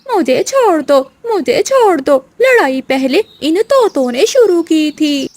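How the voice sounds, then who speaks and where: Angry cartoon mother bird, played by a woman: high-pitched, indignant, swinging widely in tone, quarrelsome. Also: sing-song, slow, darkish tone, no room sound, full character voice. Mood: pleading. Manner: scolding, indignant. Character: angry quarrelsome female crow and parrot mothers. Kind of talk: Hindi animated storybook acting.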